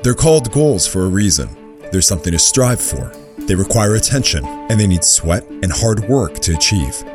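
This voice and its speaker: Man, ad announcer, measured and persuasive, low voice, music under him. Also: sing-song. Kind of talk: scripted radio ad.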